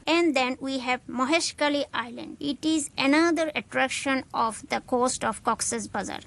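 A woman's voice: high-pitched, moderate pace, gravelly, a bit bright. Bangladeshi woman guest describing her homeland. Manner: explains at length, listing facts and places. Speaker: studio guest. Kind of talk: informative radio interview.

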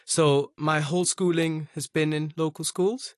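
A man from Hong Kong talking as a guest in a casual radio interview, quick and thoughtful. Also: on the high side, fairly even intonation, no echo, studio quality.

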